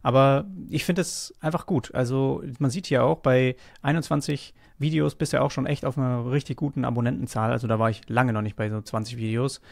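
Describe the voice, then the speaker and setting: A man's voice: mid-range voice, fairly even intonation, a little fast, creaky, hardly any pauses, no echo, studio quality. A man hosting a casual chat, talking enthusiastically with many fillers and restarts. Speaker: host.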